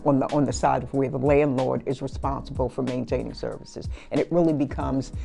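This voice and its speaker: A woman's voice: a bass voice, fairly even intonation, a little fast, creaky, warm tone, soft background music. A middle-aged woman tenant speaking in a documentary interview, assured and explanatory. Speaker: tenant advocate. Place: indoor room.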